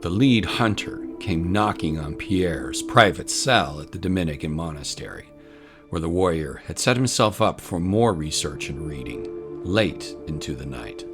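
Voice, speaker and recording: A man narrates a dramatic tale in a very low voice. His scripted storytelling is set over clear background music. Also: expressive, a little slow.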